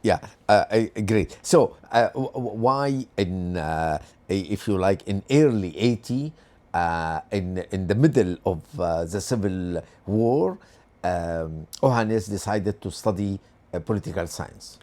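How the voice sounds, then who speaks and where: A man's voice: deep voice, animated, measured pace, darkish tone. A man interviewing a guest, asking hesitant questions and constantly murmuring agreement. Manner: hesitant questions, frequent "yeah" backchannels. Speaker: interviewer, host.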